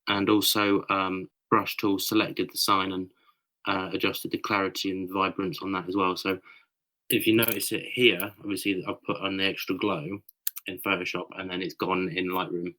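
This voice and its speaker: Deep-voiced British man, slightly croaky. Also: normal speed, low-quality recording. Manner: explains edits step by step, relaxed with fillers. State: a bit croaky. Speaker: podcast co-host, photographer. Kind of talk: casual chat over a voice call. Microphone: voice call.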